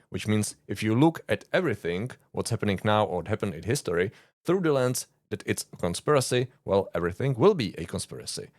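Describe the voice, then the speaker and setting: Czech-accented man, low dry voice. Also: darkish tone, dry recording. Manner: earnest, informal, with frequent uh fillers and dry asides. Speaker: YouTube host, conspiracy researcher. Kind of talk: explainer monologue to camera.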